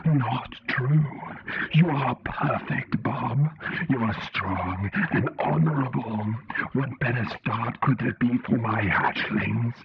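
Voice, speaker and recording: A man's voice: medium pitch, background noise. A man voicing a solemn, insistent tentacled alien leader in an audio story, speaking in formal pronouncements through an alien voice filter. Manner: slow, stately, formal pronouncements. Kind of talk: audio short story character. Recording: muffled lo-fi filtered sound, music behind.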